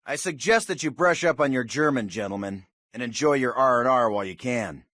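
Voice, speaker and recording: An actor playing a confident American army officer, giving an authoritative, orderly briefing to paratroopers in a video game. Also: male voice, medium pitch, animated, fairly quick, a bit bright, no echo, studio quality, lightly acted. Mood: proud, dry. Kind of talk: military mission briefing, scripted game acting.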